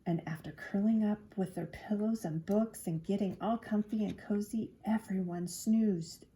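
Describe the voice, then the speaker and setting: A woman's voice: medium pitch, steady tone, normal speed, warm tone, a little hiss or hum. A woman reading a children's storybook aloud expressively, drawing out key words to engage young listeners. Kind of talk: expressive read-aloud narration.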